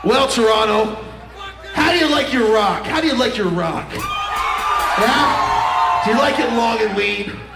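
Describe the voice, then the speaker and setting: Hammy rock singer guy yelling and singing way up high, roomy live sound, loud band behind. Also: takes it slowly, pauses often, a loud crowd, heavy background noise. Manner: bombastic, hammy. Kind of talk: shouted live stage banter and rock singing. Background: loud hard rock music.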